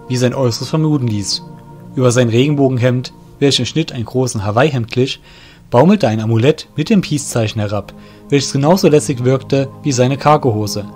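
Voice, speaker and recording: A male narrator reads a fantasy parody story in a measured, descriptive way. His voice sits in a middle range, with music playing underneath. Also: mid-range voice, darkish tone.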